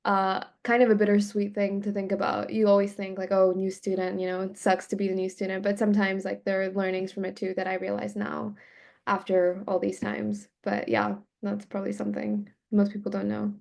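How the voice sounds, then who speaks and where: A young woman in her early twenties, raised between India and the US, speaks softly. She keeps a fairly flat tone and fills pauses with plenty of fillers. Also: medium pitch, gravelly. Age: 20s. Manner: soft-spoken, many fillers. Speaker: graduate student guest. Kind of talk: casual conversation.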